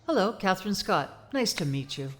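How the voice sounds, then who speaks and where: A woman's voice: mid-range voice, sing-song, normal speed, lightly acted. A woman playing a gracious, friendly famous actress in audio drama dialogue, polite and cordial.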